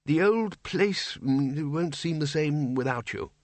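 A man's voice: a little high, animated, slow pace, no room sound, voice pushed up. A man voicing an awkward, fussy senior wizard in an audiobook, polite and hesitant with stammers. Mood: awkward farewell. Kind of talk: audiobook character voice.

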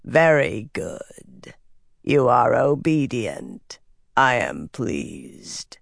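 An audiobook narrator voicing a menacing, commanding female servant of evil with a slow, rasping, growling hiss. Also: medium pitch, very animated, no echo, studio quality, pitched below the performer's own voice, heavily acted. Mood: cold satisfaction. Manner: slow, imperious, curt. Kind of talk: audiobook character voice.

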